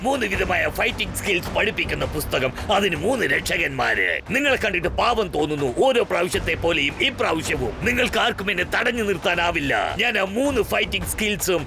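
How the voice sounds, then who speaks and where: A man voicing a vengeful, boastful kung fu master villain in a cartoon, menacing and gravelly. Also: sits high, expressive, a little fast, hardly any pauses, noisy background. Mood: mocking, menacing. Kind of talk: Malayalam cartoon dub acting.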